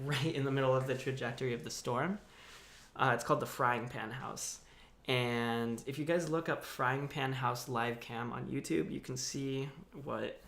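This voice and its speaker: Laid-back young man in an echoey room. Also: medium pitch. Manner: relaxed, laid-back with swearing and laughs. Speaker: podcast co-host. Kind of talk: casual news chat.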